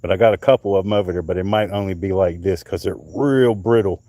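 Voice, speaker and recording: A man's voice: low voice, fast, dark tone, no echo. A middle-aged man, rambling casually on a livestream while showing off things he found, swearing as he goes. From North Carolina, US. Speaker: livestream host. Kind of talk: casual livestream show-and-tell. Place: outdoors at night in summer heat.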